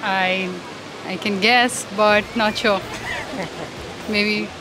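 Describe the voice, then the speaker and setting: A woman's voice: mid-range voice, fairly even intonation, extremely slow, low-quality recording. A woman from India, chatting casually as a street interviewee. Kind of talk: casual chat. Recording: busy outdoor street with crowd.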